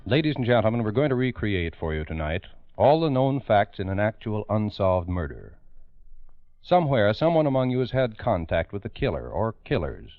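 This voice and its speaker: A man's voice: on the low side, big pitch swings, normal speed. An American man narrating an old radio crime program in a solemn, emphatic, theatrical manner, on a muffled recording. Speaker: narrator and host.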